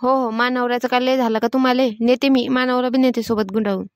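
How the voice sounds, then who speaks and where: A quarrelsome village woman of cartoon comedy, acted by a woman, high-pitched and quick. She speaks rural Varhadi Marathi with a blunt, exasperated, complaining manner, recorded close and dry. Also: steady tone, no echo, close to an everyday voice. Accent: rural Marathi, Varhadi dialect. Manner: scolding, complaining. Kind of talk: animated family comedy dialogue.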